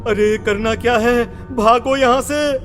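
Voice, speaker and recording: A man's voice: extremely high pitch, steady tone, takes it slowly, a bit bright, faint music behind, full character voice. A man playing a nervy village farmer in a horror audio story, speaking in urgent exclamations. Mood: alarmed, urgent. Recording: clearly noisy background.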